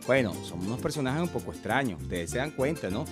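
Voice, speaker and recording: A man narrating a travel program in a reflective, lively way. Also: mid-range voice, fast. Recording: music bed behind.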